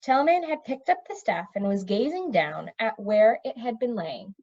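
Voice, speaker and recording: Female storybook narrator, expressive, slightly high voice with wide intonation, on a slightly muffled recording. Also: moderate pace. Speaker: storybook reader. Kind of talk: expressive read-aloud narration of children's fantasy.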